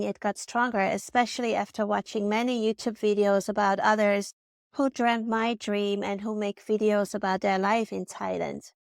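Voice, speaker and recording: Dutch-accented woman, smooth dry voice. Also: medium pitch, no echo. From Netherlands. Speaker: vlogger. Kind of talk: scripted personal vlog narration.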